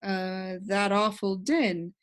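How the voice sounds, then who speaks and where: A woman's voice: mid-range voice, steady tone, slow pace, lightly acted. A woman voicing a curious, sympathetic young boy in a storybook, asking simple questions.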